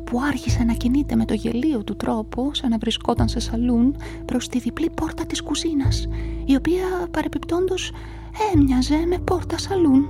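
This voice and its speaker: An actress narrating a radio play in the first person as a nervous events manager, often dropping into confiding whispers. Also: female voice, high-pitched, over music. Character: nervous, talkative female events manager caught up in a murder.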